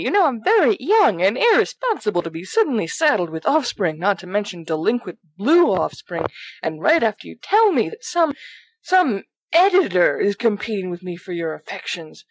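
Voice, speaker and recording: A woman's voice: fairly low pitch, no room sound. A woman reading fiction aloud as a dramatic, jealous young man's letter, in a melodramatic way. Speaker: volunteer audiobook reader.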